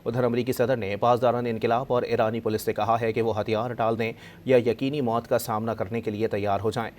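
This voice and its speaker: A man's voice: medium pitch, level tone, a little fast, darkish tone. A man with a Pakistani accent reading breaking news as an anchor, in a formal, insistent delivery. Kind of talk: breaking news bulletin in Urdu, repeated formally.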